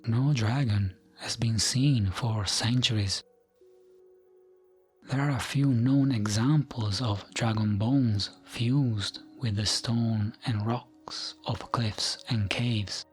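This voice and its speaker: Slow, whispery man narrating ASMR over music. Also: mid-range voice. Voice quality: soft, breathy, near-whispered. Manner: gentle, soothing, measured delivery. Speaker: ASMR gaming narrator and channel host. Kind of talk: ASMR bedtime lore narration, scripted.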